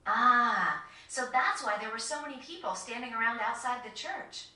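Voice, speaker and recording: A woman voicing a gentle, polite, curious young girl in a video game. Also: on the high side, animated, normal speed. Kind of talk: video game cutscene voice acting. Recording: echoey, slightly distant game audio.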